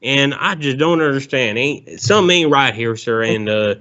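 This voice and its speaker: A man's voice: medium pitch, moderate pace, a bit bright, close to an everyday voice. A man voicing a rambling, bigoted Southern ex-convict on a prank call, with a folksy drawl and calling everyone sir. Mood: confiding. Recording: dull lo-fi phone call.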